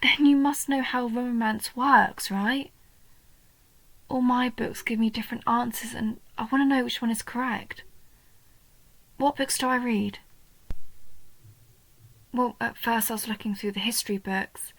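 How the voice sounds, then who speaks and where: A woman voicing a young female goblin in a roleplay audio, a nerdy, sweet and curious bookworm who talks earnestly and eagerly, sometimes softly breathy. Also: fairly high pitch, fairly even intonation, measured pace, pauses often, dry recording, rough recording. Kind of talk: roleplay audio, intimate one-sided scripted dialogue.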